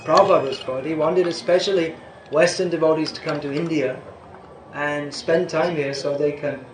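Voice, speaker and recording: A man's voice: a little high, fairly even intonation, normal speed. An older man giving an informal question-and-answer talk as a spiritual teacher, rambling and restarting his sentences, chuckling now and then. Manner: rambling, self-interrupting, chuckles at times. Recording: echoey room with faint background noise.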